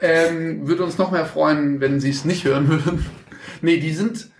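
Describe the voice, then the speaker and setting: A man's voice: fairly high pitch, steady tone, unhurried. A man from Stuttgart with a Swabian accent, a podcast guest chatting casually, rambling and often correcting himself. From Stuttgart, Germany. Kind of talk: casual chat. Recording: echoey room with faint noise.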